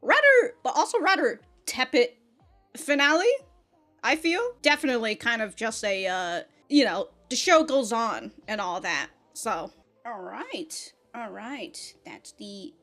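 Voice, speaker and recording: A woman giving casual, off-the-cuff reaction commentary as a review host, rambling, slangy and animated, with some swearing. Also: on the high side, a little slow, faint music behind.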